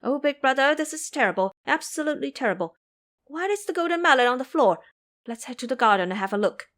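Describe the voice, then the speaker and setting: Woman playing a jumpy young Taoist boy, high and quick, storybook. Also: animated, fairly bright tone. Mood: agitated.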